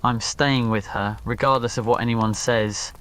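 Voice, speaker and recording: A man's voice: medium pitch, fairly even intonation, normal speed, a bit bright, lightly acted, rough recording. A defiant young male wizard student, as a male narrator plays him in an audio roleplay. He is guarded but devoted and speaks firmly and earnestly in a mid-range voice.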